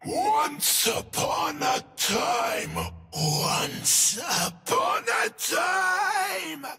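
A man's voice: very high-pitched, wildly swooping pitch, drawn-out words, creaky, raised voice, over loud music, full character voice. A man voicing a monstrous demon scarecrow in a video game, mimicking terrified victims in distorted, broken, theatrical outbursts. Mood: eerie, menacing. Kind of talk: video game character voice lines. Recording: long cavernous reverb.

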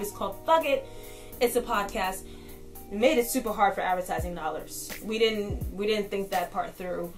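A young woman with a stuffed-up nasal voice from a cold, chatting casually and slangily as she reviews a show on her vlog. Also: medium pitch. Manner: chatty, slangy, animated, laughs often. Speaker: vlogger, TV reviewer. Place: indoors, in front of a backdrop.

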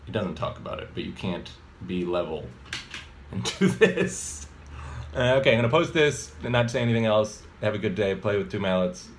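A man in his thirties with a very low voice talks through a lesson in a loose, rambling way, stopping to correct himself and filling gaps with ums. He holds a phone at arm's length in a home kitchen, and a faint hiss sits under the sound. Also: expressive, moderate pace. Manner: rambling, many fillers and self-corrections. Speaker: mallet percussion teacher. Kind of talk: casual video lesson. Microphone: phone camera at arm's length.

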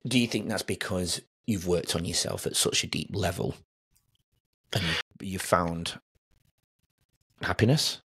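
A man's voice: low voice, unhurried, creaky, long pauses, a bit bright, breathy edge, dry recording. A middle-aged man hosting a podcast, chatting casually with his guest in an empathetic, affirming way. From outside Australia. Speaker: podcast host. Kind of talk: casual interview chat. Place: home room with shelves, indoors. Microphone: close desk podcast mic, headset.

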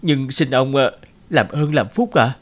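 A man voicing a poor, timid peasant father in an animated folk tale, humble and hesitant as he pleads. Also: mid-range voice, a little slow, natural voice. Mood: anxious, humble.

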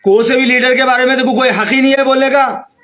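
A man's voice: very high-pitched, fairly even intonation, fast, fairly bright tone. A man in his fifties with a Hyderabadi accent, scolding in an agitated, repetitive angry rant to camera. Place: small room indoors.

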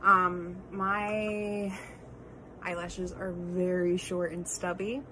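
A woman in her thirties with a lower voice talks through her makeup routine, a little nasal and congested, full of ums and small apologies. She speaks close to a phone in her bedroom. A steady hiss sits under the recording. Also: drawn-out words. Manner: chatty, rambling, many ums, apologetic asides. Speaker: beauty vlogger. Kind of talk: casual makeup tutorial. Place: bedroom at home, indoors. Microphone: phone camera held close.

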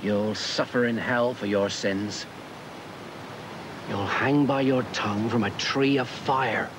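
A man's voice: lowish voice, fairly even intonation, slow, background noise. A man playing a preachy medieval character who scolds and moralizes, recorded outdoors. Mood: threatening. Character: stern preachy medieval man, lecturing on order. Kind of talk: educational drama acting. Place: outdoors under trees.

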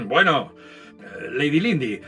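A man's voice: a little high, sing-song, slow pace, bright, forceful tone, faint music behind, full character voice. A man playing a chatty, teasing 1930s broadcast interviewer in an audio drama, with flowery presenter-like delivery. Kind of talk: scripted audio drama acting.

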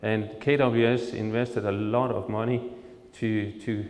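A man in his fifties with a Danish accent, speaking English as a second language, gives a presentation to farmers into a handheld mic, pausing often with filler sounds as he searches for words. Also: on the low side, little pitch movement, a little mellow. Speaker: seed company product manager. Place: large hall with projector screen. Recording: long reverb from a large hall.

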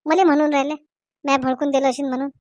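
A woman's voice: high-pitched, little pitch movement, fast talker, a little mellow, no echo, lightly acted. A woman voicing a combative, scolding village wife and mother-in-law in a comedy cartoon, with a Varhadi Marathi accent and melodramatic, exclamatory delivery. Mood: indignant, demanding. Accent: Varhadi Marathi from Vidarbha.

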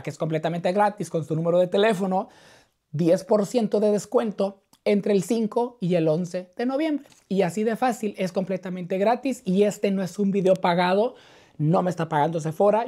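A hoarse man presenting a skincare vlog to camera, chatting in an animated, playful and chummy way. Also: sits high. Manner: animated, playful, chummy with viewers, uses diminutives. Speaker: skincare video host. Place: furnished living room indoors. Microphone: clip-on lapel mic.

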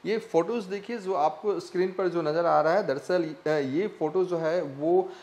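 A young man presenting a news report to camera with a lapel mic in a small studio, pausing often on "uh" fillers. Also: high voice, a little mellow. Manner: halting, many "uh" fillers. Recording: roomy echo.

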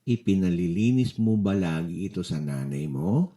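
A man's voice: sits low, lively intonation, normal speed, dark tone. A man with a Filipino accent playing a friendly, joking children's doctor in a storybook. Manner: cheerful, reassuring.

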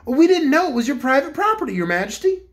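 A woman's voice: sits high, big pitch swings, fast talker, pitched above the performer's own voice. A woman voicing a determined woman cook who speaks in an earnest, pleading way.

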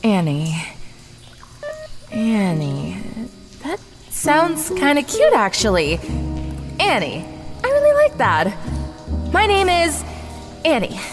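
A woman's voice: fairly high pitch, wildly swooping pitch, slow, long pauses, exaggerated voice. A woman playing a lonely, childlike yet menacing snake woman with a naive, probing manner in a comic audio drama. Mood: wistful. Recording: reverberant hall, music behind.

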